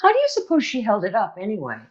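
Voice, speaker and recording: A woman's voice: medium pitch, huge pitch swings, rapid-fire. A woman playing a scandalized, gossipy club lady in an old-time radio sitcom, in a muffled recording. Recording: muffled, dull sound.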